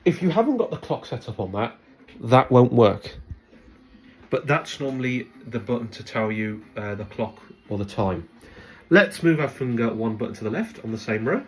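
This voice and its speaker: A man presenting a step-by-step product demonstration, instructing in a halting way as he thinks aloud. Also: medium pitch, lively intonation, breathy edge.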